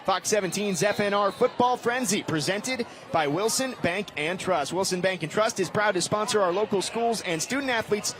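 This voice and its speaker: A man's voice: high-pitched, moderate pace, few pauses. A man calling live sports play-by-play in clipped, vivid phrases. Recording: noisy live game broadcast.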